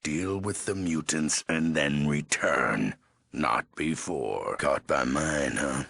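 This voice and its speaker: An actor voicing a menacing, weary ghoul overlord in a video game, raspy and gravelly, speaking in terse, commanding threats with odd broken syntax. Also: male voice, extremely low pitch, slow, a bit bright, dry recording, exaggerated voice. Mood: cold menace. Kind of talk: video game character voice acting.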